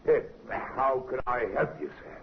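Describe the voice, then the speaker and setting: A man playing a gravelly, bossy innkeeper. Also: medium pitch, measured pace, slight background noise, close to an everyday voice. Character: gruff, blunt English innkeeper husband, middle-aged. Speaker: radio drama actor. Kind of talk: 1950s radio crime drama acting. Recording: muffled vintage radio recording.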